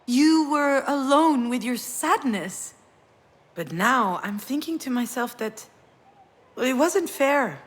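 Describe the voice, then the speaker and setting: An actress playing a grieving, self-reproaching woman in a video game drama, speaking in an earnest, reflective, halting way. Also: high-pitched, lively intonation, heavily acted. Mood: ashamed, emotional. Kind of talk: dramatic visual novel voice acting with inner narration.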